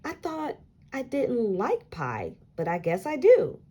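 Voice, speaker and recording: A woman's voice: on the high side, wildly swooping pitch, slow pace, warm tone, breathy edge, voice pushed up, exaggerated voice. A woman voicing a picky, hesitant young girl in a children's storybook, sounding wondering and uncertain. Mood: surprised. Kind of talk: storybook character voice.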